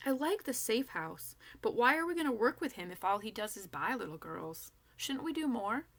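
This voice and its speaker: A woman's voice: fairly high pitch, sing-song, moderate pace, pitched above the performer's own voice. A woman voicing a naive, earnest young female zombie with a questioning manner in a storybook reading. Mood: earnest, doubtful.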